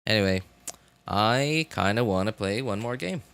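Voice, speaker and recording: A man's voice: lowish voice, expressive, creaky, a bit bright, clear, resonant tone, no room sound. Rambling male streamer, close dry sound. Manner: rambling, halting, thinking aloud. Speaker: livestreamer. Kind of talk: casual livestream chat.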